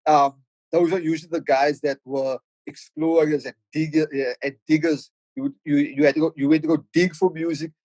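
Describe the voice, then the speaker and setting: A middle-aged man with a Cape Town South African accent speaks as a podcast guest, his voice sitting a bit high. He thinks aloud, restarting phrases over and over, into a dry close mic. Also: flat delivery, normal speed, darkish tone, no room sound, poor audio. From South Africa, Cape Flats in Cape Town. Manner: reflective, with frequent stammered restarts. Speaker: podcast guest, rapper and producer. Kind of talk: casual podcast conversation.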